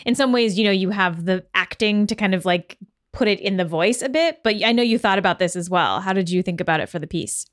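A woman's voice: mid-range voice, fairly quick, no echo, studio quality. A woman hosting a podcast interview in a conversational style.

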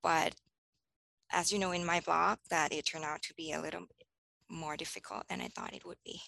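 A woman's voice: deep voice, steady tone, moderate pace, vocal fry, sharp tone. A woman in her thirties from Thailand, a teacher answering interview questions thoughtfully, with frequent ums and restarts. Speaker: teacher guest.

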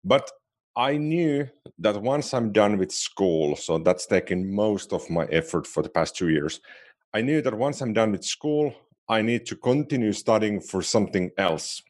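A man's voice: on the low side, lively intonation, a little slow. A man with a Finnish accent co-hosting a podcast, chatting casually and a little self-deprecatingly. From Finland. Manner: chatty, self-deprecating, restarts phrases often. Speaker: podcast co-host. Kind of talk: casual chat.

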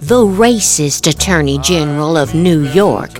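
A low-pitched American woman reads political posts like a dramatic performance. Her delivery is measured and emphatic, with music playing behind her. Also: lively intonation. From United States. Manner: theatrical, emphatic, deliberate. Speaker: podcast host.

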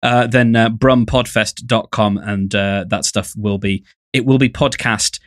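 A man's voice: on the low side, steady tone, a little slow, dry recording, studio quality. A man with an English accent co-hosting a podcast, talking casually in a wordy, jokey way. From England. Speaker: podcast co-host.

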